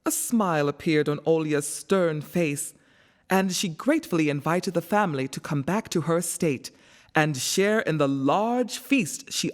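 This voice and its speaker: Female storybook narrator, animated intonation, measured scripted delivery, soft music underneath. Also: low voice.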